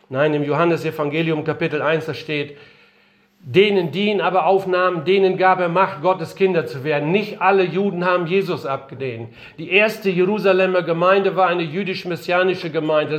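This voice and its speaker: Older guy preaching in a conference room, voice a bit worn from feeling sick, fairly high pitch, keeps asking questions. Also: highs cut off. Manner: earnest, emphatic, rhetorical questions. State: slightly unwell, voice strained. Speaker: missionary speaker. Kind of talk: lecture-style sermon.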